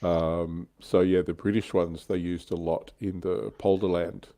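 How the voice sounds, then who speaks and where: A man's voice: sits low, flat delivery, unhurried, mellow. A man co-hosting a livestream, chatting casually and explaining his opinions on a hobby. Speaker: livestream co-host. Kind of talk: casual hobby chat about tabletop wargames.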